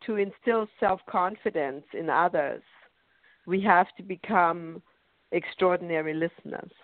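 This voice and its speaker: A woman's voice: on the low side, no room sound. A woman whose first language is German hosts a radio conversation, taking her time over each phrase. Her voice comes through a narrow, tinny phone line with a dry, close sound. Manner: slow, deliberate speech. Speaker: radio show host. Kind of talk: conversational interview. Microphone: phone call.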